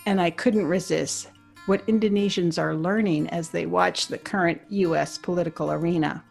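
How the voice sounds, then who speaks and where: A woman's voice: on the low side, fairly even intonation, normal speed, gravelly, a little mellow, soft background music. A woman hosting an interview podcast, moving between scripted introduction and conversational questions.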